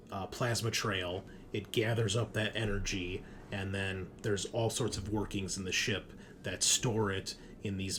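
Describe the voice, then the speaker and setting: A man with a fairly low voice acts as game master for a tabletop roleplaying game. He explains the setting conversationally and speaks straight to his players. There is faint noise behind him. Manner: explanatory, addresses players directly.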